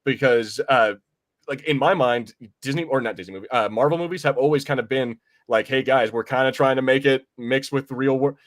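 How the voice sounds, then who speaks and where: Quick-talking guy on a movie podcast, rambling and slangy, mid pitch. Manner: rambling, slangy, swears casually. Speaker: podcast co-host. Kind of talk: casual movie review chat.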